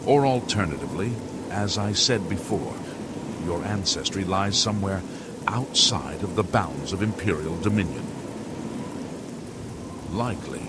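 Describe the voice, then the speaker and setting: A man's voice: sits low, lively intonation, unhurried. A man playing a curt, officious imperial official in a fantasy game, speaking formally and precisely, as if reluctant to advise. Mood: dry, reluctant. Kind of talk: video game character dialogue. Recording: loud rain and thunder noise. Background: rain and thunderstorm.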